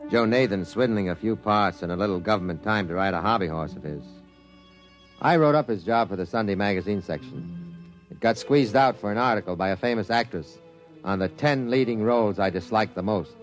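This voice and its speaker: Wry, low-voiced newspaperman played by a man. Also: a little fast, faint music behind, close to an everyday voice, rough recording. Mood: wry narrating. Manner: dry, wry delivery. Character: wry, worldly male newspaper science writer. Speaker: actor and narrator in a radio drama. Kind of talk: 1950s radio drama narration and dialogue. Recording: vintage 1950s radio drama recording.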